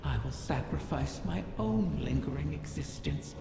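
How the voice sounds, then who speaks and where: A man voicing an ancient, wistful dragon spirit in a video game, speaking theatrically with breathy lapses into whispers. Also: a little high, lively intonation, measured pace, exaggerated voice. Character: ancient wish-dragon spirit, wistful, grand, facing its end. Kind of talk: scripted video game monologue. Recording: cavernous reverberant hall, music behind.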